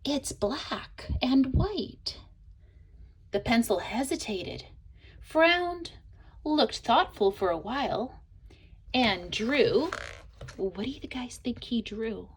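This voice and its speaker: A woman reading a children's picture book aloud in an expressive way, as a teacher would to young listeners. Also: a little high, husky. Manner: expressive, pauses to ask listeners questions. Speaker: storybook reader, teacher.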